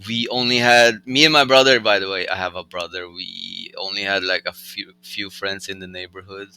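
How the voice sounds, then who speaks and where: A young man with a Norwegian accent and a very bright, smooth voice, pitched a little low. He talks in a loose, rambling way, full of fillers, as a guest in a casual interview. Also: normal speed, poor audio. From Norway. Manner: relaxed and rambling, many likes and fillers. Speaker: rapper guest.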